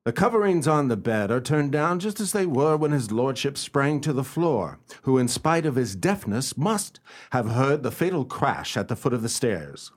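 A man playing a formal, self-assured gentleman detective in a radio play, speaking in a deliberate, theatrical way. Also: medium pitch, very animated. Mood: intrigued, probing. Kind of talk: radio play acting with first-person narration.

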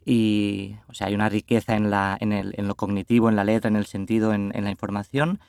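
Catalan dude, lowish voice, halting, repeats himself, dry recording. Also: male voice, flat delivery, gravelly, a little mellow, clear, resonant tone, no echo. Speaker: musician, interview guest. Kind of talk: interview conversation.

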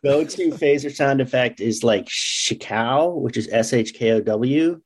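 A man's voice: medium pitch, unhurried. A man talking casually as a guest writer in an interview, with self-effacing, nerdy enthusiasm. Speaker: comic book writer, podcast guest.

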